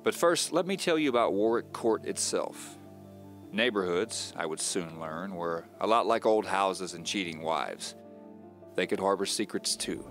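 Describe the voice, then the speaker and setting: Wry, brooding amateur tape diarist, low-pitched, measured confessional delivery for mystery radio play, soft music bed. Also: male voice. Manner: measured, confiding, wry storytelling. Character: divorced handy man confiding his mystery on tape, wry, brooding. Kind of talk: first-person audio drama monologue.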